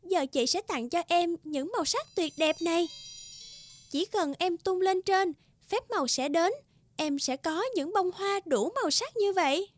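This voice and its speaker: A woman voicing a gentle, generous young fairy in an animated fairy tale, caring and reassuring like a big sister. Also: extremely high pitch, a little slow, faint music behind. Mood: grateful.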